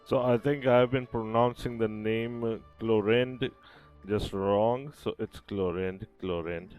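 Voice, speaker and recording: A man hosting a gaming channel makes a slow, casual aside in a lowish voice and laughs at himself. His mic sounds dull and lo-fi, with game music behind. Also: steady tone.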